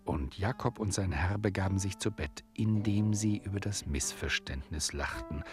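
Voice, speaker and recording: A man's voice: sits low, moderate pace, over music. A man narrating a radio play in a scripted, literary storytelling style.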